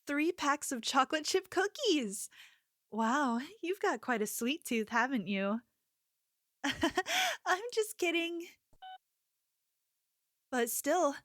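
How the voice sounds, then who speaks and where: Woman voicing young female store clerk for ASMR roleplay: sweet, solicitous, slightly high, unhurried, soft, secretly possessive. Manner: gentle, apologetic, hesitant, solicitous.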